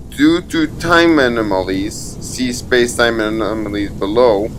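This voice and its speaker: Man reading a formal log over rumbling noise. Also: fairly bright tone. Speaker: channel narrator reading a document aloud. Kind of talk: scripted narration of a fictional archive log. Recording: clearly noisy recording with low rumble.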